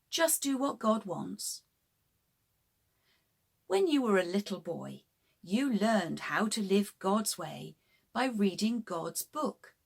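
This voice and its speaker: A woman reads as an earnest man writing to a dear friend in a children's storybook. The voice is husky and slow. The words come gently and simply, full of encouragement. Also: mid-range voice, heavily acted. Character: Paul, earnest male friend writing a reassuring letter.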